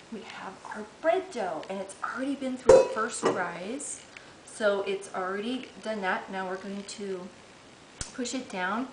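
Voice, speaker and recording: A middle-aged woman talks her viewers through baking in a home kitchen, chatty and upbeat as she works with her hands. Her voice sits in the middle range and bounces off hard kitchen surfaces. Dishes and pans clatter clearly behind her. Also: mid-range voice, roomy sound, noisy background. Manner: chatty, upbeat, talks while working dough. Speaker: cooking show host. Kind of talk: casual cooking demonstration.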